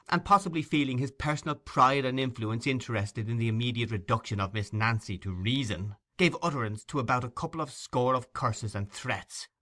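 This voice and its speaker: A man's voice: mid-range voice, bright, forceful tone. A man reading a classic novel aloud as an audiobook narrator, in dramatic scripted storytelling.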